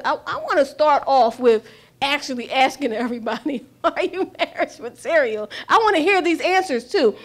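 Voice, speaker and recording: A woman's voice: high voice, a bit bright. A woman hosting a casual panel chat, playful and nosy, laughing often, speaking into a handheld mic. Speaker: host. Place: restaurant seating area.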